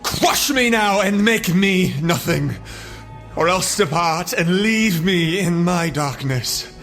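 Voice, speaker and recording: An actor playing a tormented, guilt-ridden young scientist in an audio drama, anguished and theatrical. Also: male voice, sits high, expressive, slow pace, fairly bright tone, soft background music, full character voice. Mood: despairing.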